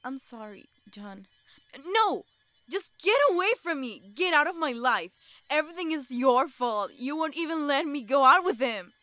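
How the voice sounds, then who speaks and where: A woman as a bright-voiced troubled teenage schoolgirl. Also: sits high, lively intonation, moderate pace, no room sound. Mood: tearful, then furious. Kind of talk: amateur audio drama acting.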